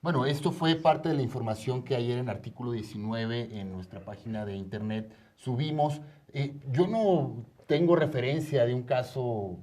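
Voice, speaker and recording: Man, Mexican accent, medium pitch, very mellow tone, interview host, conversational studio delivery. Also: moderate pace. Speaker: talk show host interviewing guests.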